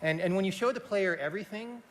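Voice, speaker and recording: A man's voice: high voice, quick pace. A man speaking critically and with firm opinions as a panelist in a live discussion. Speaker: panelist, game developer. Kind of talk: panel discussion in front of audience. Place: large indoor event hall. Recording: echoey hall, faint noise.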